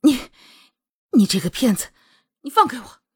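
A woman's voice: on the high side, sing-song, normal speed, darkish tone, slightly rough, heavily acted. A woman voicing an indignant, flustered young heroine in a storybook romance, protesting in exclamations.

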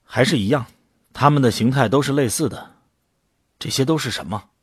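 A man's voice: mid-range voice, a little fast, slightly rough, no room sound, clean studio sound, close to an everyday voice. A man acting in an audio drama as an awed, unsure ordinary volunteer who narrates his own story. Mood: curious. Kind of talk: dramatic scripted narration and dialogue.

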